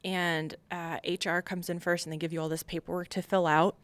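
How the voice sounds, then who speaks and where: A woman's voice: lowish voice, little pitch movement, brisk. An American woman giving a candid, emphatic personal account as an interview guest, acting out remembered remarks. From Long Island, New York, USA. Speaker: podcast guest, military veteran.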